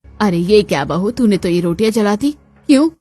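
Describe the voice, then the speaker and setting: A woman's voice: mid-range voice, expressive, normal speed, dark tone, voice pushed down, lightly acted. A stern, grieving middle-aged cartoon mother-in-law, played by a woman. She speaks dramatically and reproachfully, over faint music. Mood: shocked.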